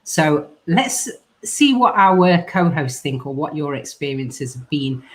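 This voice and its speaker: A woman's voice: deep voice, very animated, slow pace, crowd noise. A woman hosting a podcast discussion over a video call, steering the talk and putting questions to her co-hosts. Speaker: podcast host.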